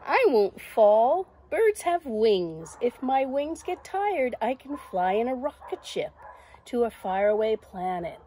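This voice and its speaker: A woman's voice: on the high side, big pitch swings, slow pace, mellow, slight background noise, pitched above the performer's own voice. Woman voicing a bold, dreamy little storybook girl. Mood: excited, dreamy. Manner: emphatic, declarative, defiant.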